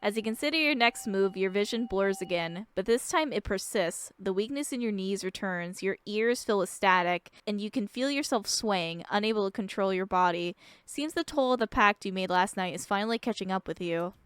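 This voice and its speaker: Expressive woman streamer, dry close sound. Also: medium pitch, normal speed, few pauses, smooth, dry recording. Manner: expressive, casual, sweary. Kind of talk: game let's play, reading aloud and reacting.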